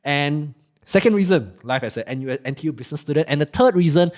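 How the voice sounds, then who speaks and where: A man's voice: fairly high pitch, lively intonation, fast talker, a little mellow. A man hosting a podcast interview, rambling and stringing many questions together. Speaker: podcast host. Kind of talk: casual interview chat.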